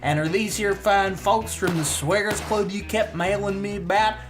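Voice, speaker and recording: A woman's voice: medium pitch, steady tone, moderate pace, over music, close to an everyday voice. Folksy cartoon cousin pony played by a woman, Southern US drawl, chirpy, medium register. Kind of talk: parody cartoon dub.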